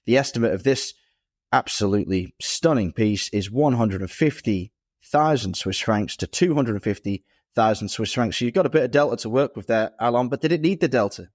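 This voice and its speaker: A man's voice: mid-range voice, brisk, a little mellow, no echo, clean studio sound. A man co-hosting a casual podcast chat, explaining things fluently and with enthusiasm. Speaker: podcast co-host.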